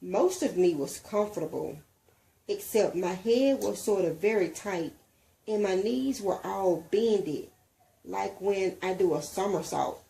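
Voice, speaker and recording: Mellow woman reading a storybook, lively. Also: mid-range voice, a little slow, gravelly, low-quality recording. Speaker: reader, mother. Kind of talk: expressive storybook reading aloud.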